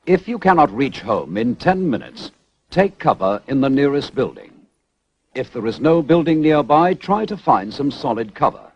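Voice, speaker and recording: A man's voice: medium pitch, big pitch swings, a little hiss or hum. Formal British male narrator, dull vintage soundtrack. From United Kingdom. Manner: measured, formal, instructional. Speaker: public information film narrator. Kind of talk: scripted narration, 1970s civil defence announcement. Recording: dull, band-limited 1970s film soundtrack.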